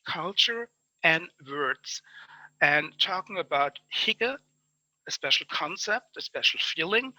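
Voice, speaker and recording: A German man with a German accent recaps an online conference in eager, piled-up phrases. His voice sits slightly high and rings very bright. The sound is dry and close, with no room around it. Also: no room sound, poor audio. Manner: enthusiastic, lists things in chains of near-synonyms. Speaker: conference speaker summing up presentations. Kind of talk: spoken conference summary. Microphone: online conference call.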